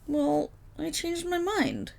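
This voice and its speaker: Woman voicing a high-pitched, bubbly dog girl. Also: slow, gravelly, full character voice. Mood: excited, eager. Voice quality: high, girlish. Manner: excitable, playful, eager rambling. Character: bubbly, affectionate, goofy young dog girl. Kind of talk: ASMR roleplay audio drama.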